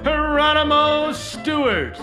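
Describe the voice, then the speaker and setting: Slow, high-voiced woman announcer. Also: lively intonation. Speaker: announcer of a character roll call. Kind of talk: scripted intro announcing. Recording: music behind the voice.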